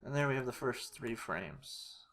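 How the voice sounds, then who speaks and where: Someone giving an informal editing walkthrough, talking haltingly and muttering to themselves while working. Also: mid-range voice, very animated, gravelly, fairly bright tone. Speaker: tutorial creator. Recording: noisy home recording, keyboard and clicks. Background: mouse clicks and keyboard typing.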